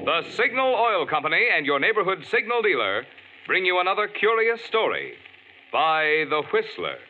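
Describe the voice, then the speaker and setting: A man in the polished, emphatic cadence of a 1940s radio announcer, his voice high and bouncing. The sound is dull and old, with clear music playing under it. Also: sing-song, moderate pace. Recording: muffled vintage radio broadcast with music.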